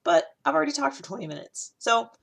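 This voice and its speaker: A woman talking casually to a camera, animated and chatty, with many fillers and restarts. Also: high voice, fast, gravelly. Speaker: video host. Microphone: camera, solo recording.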